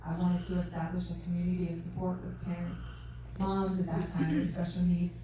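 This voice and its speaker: Soft spoken lady sharing her story at an event, mic far off, lots of room echo, faint hiss. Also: fairly low pitch, completely flat delivery, brisk. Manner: soft-spoken, earnest, faith-filled. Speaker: event speaker. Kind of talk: testimony talk. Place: event hall. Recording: distant mic, echoey hall, faint noise.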